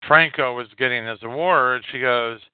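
A man hosting a podcast, giving casual news commentary in a halting, hesitant way with pauses. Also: medium pitch, clear, resonant tone, no room sound. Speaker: podcast host. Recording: narrow phone-like audio, dry.

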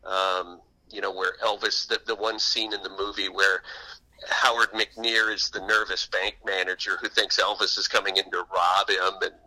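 A man's voice: low voice, little pitch movement, moderate pace, pushed and bright, poor audio. A man being interviewed as an author, telling anecdotes in a conversational radio style.

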